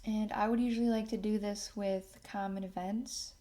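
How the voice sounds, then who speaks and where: A woman hosting a casual software tutorial, thinking aloud as she works through each step. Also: mid-range voice, steady tone, normal speed. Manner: thinks aloud while working. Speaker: tutorial host. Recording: clear background noise, keyboard and mouse clicks.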